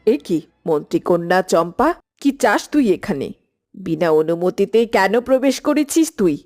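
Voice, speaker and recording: A woman's voice: on the low side, big pitch swings, normal speed, a little mellow, faint music behind. A woman voicing a stern, regal queen mother in a cartoon, speaking in a formal, authoritative manner. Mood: stern, questioning.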